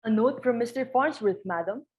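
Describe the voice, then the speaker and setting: A woman's voice: on the high side, normal speed, a little hiss or hum, close to an everyday voice. A woman playing a deferential household servant in a stage comedy reading, formal and polite as she reports to her mistress.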